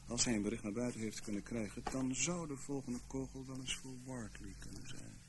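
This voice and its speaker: A man's voice: mid-range voice, brisk, very noisy, lightly acted. A man acting in a Dutch radio play as a stern, sardonic intelligence chief, with clipped authority and dry sarcasm. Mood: guarded, worried. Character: stern, commanding spy chief, coolly sardonic official.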